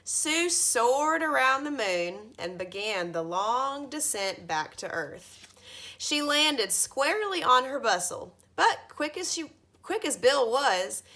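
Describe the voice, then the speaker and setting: A woman in her thirties reading a children's storybook aloud like a teacher, with expressive emphasis at the starts of phrases. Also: fairly high pitch. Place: carpeted living room at home, indoors.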